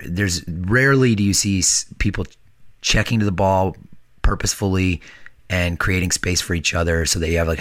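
A hoarse man talking soccer on a podcast, blunt and analytical. His voice sits low and the recording is dry and close. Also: no echo. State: hoarse from shouting. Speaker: podcast co-host. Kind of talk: casual soccer podcast chat.

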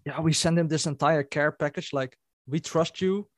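A man's voice: on the high side, normal speed, no echo, clean studio sound. A Dutch-speaking man in a band, telling a story in a casual interview and laughing as he goes. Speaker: band member.

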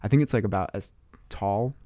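A man's voice: fairly low pitch, brisk, warm tone. An American man hosting a podcast, chatting casually in a colloquial, musing way. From United States. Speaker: podcast host. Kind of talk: casual chat.

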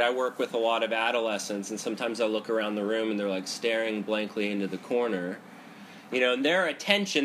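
A man with a head cold teaching a seated group about meditation, talking loudly in a conversational way and often checking in with "right?". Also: mid-range voice, a little hiss or hum. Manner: loud talker; conversational, frequent "right?" and "you know". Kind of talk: Buddhist psychology talk to a group. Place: indoor room with a group, seated cross-legged.

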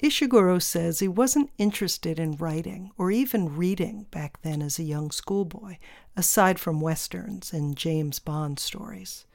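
A woman host reading a scripted narration in a measured, deliberate way. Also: fairly low pitch, creaky, darkish tone.

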